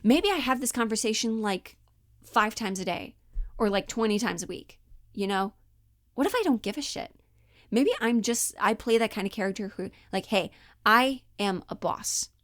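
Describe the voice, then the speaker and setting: A woman giving a casual, chatty acting lesson, slangy and animated, slipping in and out of character as she explains. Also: a little high, normal speed, no echo, clean studio sound. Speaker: acting teacher.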